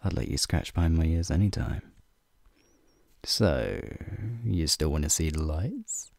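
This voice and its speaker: Guy doing a flirty werewolf guide for asmr, super deep crackly voice, breathy n playful, up close and dry with faint music behind. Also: lively intonation, measured pace, darkish tone, no echo, exaggerated voice. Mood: teasing, reassuring. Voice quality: deep, gravelly, breathy low voice. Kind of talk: ASMR roleplay, speaking to the listener. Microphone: close microphone.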